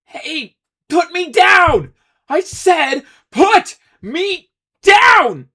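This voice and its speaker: A man voicing a brash, hotheaded teenage boy student who yells in a very high voice. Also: big pitch swings, slow pace, heavily acted. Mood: angry, shouting. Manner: hotheaded, yelling.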